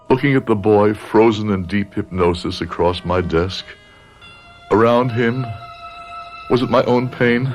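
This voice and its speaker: A man acting an older professor near death, narrating a radio drama. His voice is low and grave, solemn and remorseful in measured phrases, with music clearly behind him. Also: natural voice.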